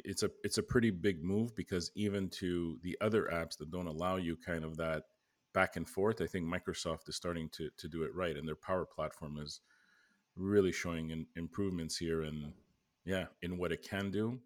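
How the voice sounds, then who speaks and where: A man's voice: deep voice, normal speed. A man co-hosting a tech podcast who talks in a rambling, reflective way and often restarts his sentences. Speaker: podcast co-host. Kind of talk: casual tech podcast chat.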